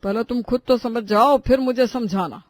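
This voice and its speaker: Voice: mid-range voice, normal speed, no echo, lightly acted. An actor voicing a henpecked middle-aged father-in-law in a cartoon family drama, teasing his wife and then backing down. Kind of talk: animated family drama voice acting in Hindi.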